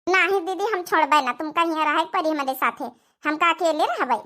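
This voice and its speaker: A woman's voice: extremely high pitch, a little fast, pushed and bright. A woman voicing a sweet, coaxing young village daughter-in-law, deferential and pleading, with an Awadhi accent in a cartoon comedy. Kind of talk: dialect cartoon comedy acting.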